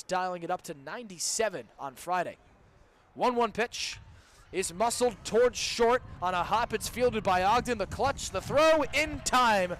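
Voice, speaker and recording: A man's voice: high voice, fairly bright tone. A young man doing live radio play-by-play of a baseball game from a booth with an open window. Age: young adult. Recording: live outdoor broadcast with background noise.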